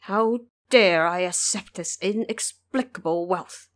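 A woman's voice: on the low side, slow pace. A poor, humble old man, as a woman narrator puts him on in an audio drama. He speaks with a fearful, pleading humility. Underneath he is stubborn and will not give way.

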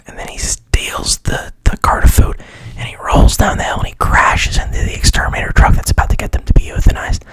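A man recapping a film in a soft whisper, rambling, swearing casually and pausing with fillers. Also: no echo. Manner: rambling, profane, hesitant.

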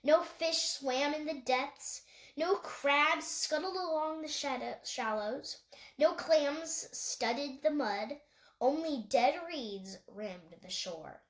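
A woman's voice: high-pitched, slow pace, a bit bright, reverberant. A woman reading a children's animal novel aloud as scripted audiobook narration. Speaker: audiobook reader.

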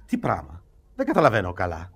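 A bewildered old gentleman of radio theatre. His voice swoops up and down, sentimental and doddering, often repeating itself. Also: low-pitched, wildly swooping pitch, vocal fry, slightly rough, exaggerated voice. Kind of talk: Greek radio theatre acting.

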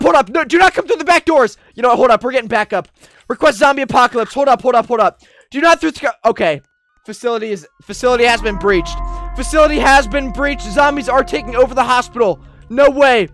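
Guy streamer with a high voice, upbeat game commentary, faint music underneath. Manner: upbeat, shouts commands in roleplay. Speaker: gaming YouTuber. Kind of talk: roleplay gameplay commentary.

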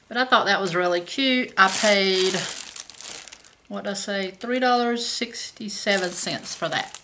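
A woman's voice: medium pitch, slow, pauses often, background noise. A woman, chatting casually about her shopping haul to a camera at home. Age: 50s. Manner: chatty, folksy, says y'all. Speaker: haul vlogger. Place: home kitchen indoors.

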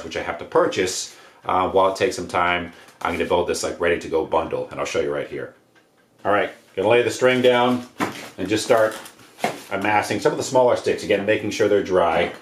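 An American man, around his forties, giving a casual hands-on demonstration in an offhand, slangy, rambling way. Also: sits low. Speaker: DIY homesteading presenter. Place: indoors, living room by wood stove. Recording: roomy echo, noise of handled objects.

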